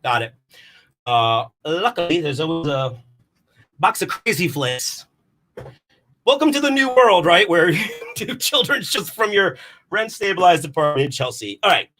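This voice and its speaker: A man's voice: on the high side, big pitch swings, normal speed, pauses often, pushed and bright. A man performing casual rambling comedy in a scatterbrained, theatrical manner. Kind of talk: rambling casual comic livestream.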